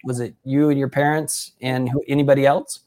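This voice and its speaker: A man's voice: medium pitch, little pitch movement, a little fast, darkish tone. A man hosting a casual sports interview, upbeat and effusive in his praise of his guest. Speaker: interview host.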